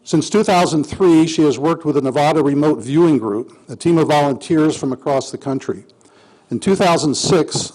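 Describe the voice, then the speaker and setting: A man hosting an event, reading a prepared introduction of the guest speaker in a formal, measured way. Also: on the high side, mellow, poor audio.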